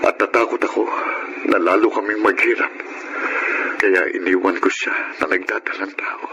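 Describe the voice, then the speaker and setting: An actor with a Filipino accent playing a gentle, remorseful middle-aged funeral parlor owner in a radio drama, earnest and halting. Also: male voice, deep voice, fairly even intonation. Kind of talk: Tagalog radio drama acting. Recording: noisy radio drama track.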